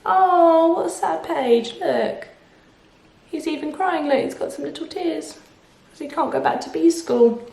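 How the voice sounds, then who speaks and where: A woman reading a picture book aloud to children, expressive, with explanations and questions to the listener. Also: sits high, measured pace, vocal fry, a little hiss or hum. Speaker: children's storyteller. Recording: echoey room.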